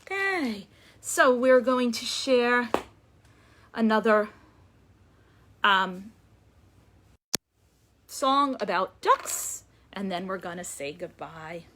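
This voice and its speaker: A woman reading a story aloud to young children as a librarian, expressive and emphatic, lingering on words. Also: fairly high pitch, drawn-out words, long pauses, slight background noise.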